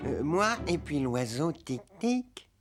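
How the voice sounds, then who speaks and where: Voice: medium pitch, big pitch swings, slow pace. An actor voicing a proud, stubborn elephant in a French cartoon, indignant and self-important. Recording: voice over music.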